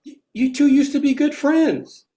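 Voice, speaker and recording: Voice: sits high, expressive, moderate pace. Someone reading a patient, coaxing dad. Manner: gentle, coaxing. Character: patient suburban father. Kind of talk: table read of an amateur play.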